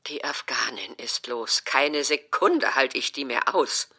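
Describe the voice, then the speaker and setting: A woman's voice: very deep voice, animated, measured pace, sharp tone, husky, heavily acted. An actress playing a stubborn, easily offended older mother who complains dramatically in an audio drama. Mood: scornful. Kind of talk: acted German audio drama dialogue.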